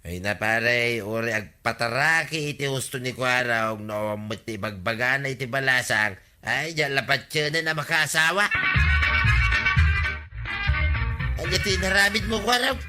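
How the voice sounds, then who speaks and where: A man's voice: mid-range voice, normal speed, few pauses, pushed and bright, soft background music. An actor playing an aggrieved, gossipy village man in an Ilocano radio comedy drama, with an Ilocano accent and a complaining, exclamatory manner. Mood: exasperated. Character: aggrieved, gossipy village man demanding his lost things back.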